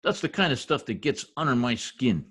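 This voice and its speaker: Man playing a brash, grumbling husband, swooping pitch. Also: very animated, fairly quick, fairly bright tone, breathy edge. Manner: brash, slangy, exasperated. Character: blustery, grumbling husband working as census taker. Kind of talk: old-time radio comedy acting.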